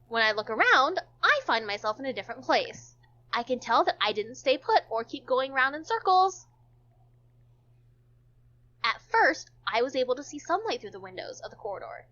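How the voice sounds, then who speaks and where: A woman's voice: sits high, very animated, moderate pace, pauses often, pushed and bright, muffled recording. A woman reading visual novel text aloud for a stream, stumbling now and then and dropping in casual joking asides.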